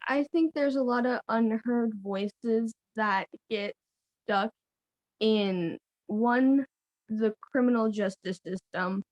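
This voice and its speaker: A woman's voice: on the high side, slow, darkish tone, no room sound, dull audio. Young woman panelist, heartfelt and plain-spoken, with a dry, close sound. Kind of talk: panel discussion.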